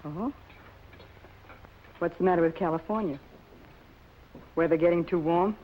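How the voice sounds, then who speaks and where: A woman's voice: fairly low pitch, unhurried, natural voice. An actress playing a teasing, scheming young girlfriend in a 1950 film noir, brash and wisecracking. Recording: muffled, faintly noisy old film soundtrack.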